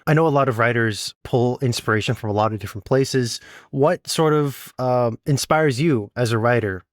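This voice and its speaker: A man interviews in a casual, conversational style. His voice sits at an average pitch. The recording is dry and close, with very little room sound. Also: moderate pace, no room sound.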